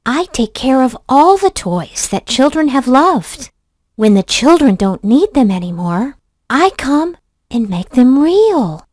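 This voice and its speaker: A woman's voice: high voice, very animated, slow pace, darkish tone, no room sound, voice pushed up, full character voice. A woman voicing a kindly, magical nursery fairy in a children's story, reassuring and a little grand.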